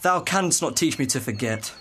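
A man's voice: medium pitch, lively intonation, moderate pace, bright, forceful tone. A man playing a lovesick, moping young nobleman in a student Shakespeare audio play.

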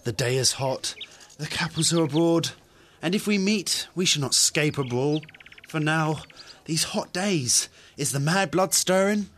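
A cautious young peacemaker acted by a young man, pitched a little high. He reasons and urges restraint in Shakespearean audio-play style. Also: expressive, a little slow, a bit bright. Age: young adult. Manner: urging, reasoning.

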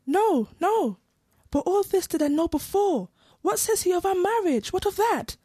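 A woman's voice: very high-pitched, lively intonation, normal speed, mellow, no echo, studio quality. A young woman student playing an impatient, lovestruck teenage girl in a Shakespeare audio play, eager and pleading. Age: young adult.

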